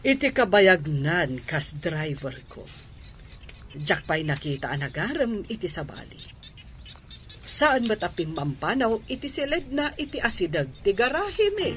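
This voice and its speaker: A woman's voice: lowish voice, very animated, unhurried, a bit bright, a little hiss or hum. A woman acting in a radio drama as a smitten, well-off mature woman who assuredly defends her lover. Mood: defensive.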